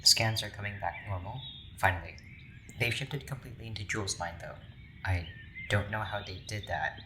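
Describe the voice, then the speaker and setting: Lowish, dry, clinical male scientist, acted. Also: a little fast, background noise, natural voice. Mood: puzzled, reserved. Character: dry, sarcastic male scientist monitoring an experiment. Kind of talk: audio drama acting.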